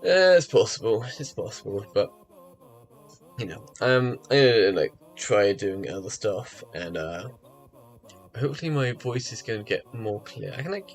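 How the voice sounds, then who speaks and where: A man chatting casually on a live stream, rambling with lots of fillers and restarts, his voice a little rough as if from early mornings. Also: fairly low pitch, animated, normal speed. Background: faint music.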